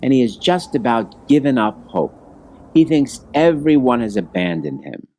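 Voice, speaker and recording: A man voicing a kindly, poor beggar who pleads gently and earnestly in a children's storybook. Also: mid-range voice, sing-song, normal speed, slight background noise, close to an everyday voice. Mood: solemn, tender. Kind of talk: children's storybook read-aloud.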